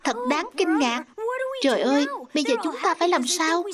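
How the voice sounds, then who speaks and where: High woman dubbing every cartoon character. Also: normal speed, a loud crowd. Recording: loud music behind the voice.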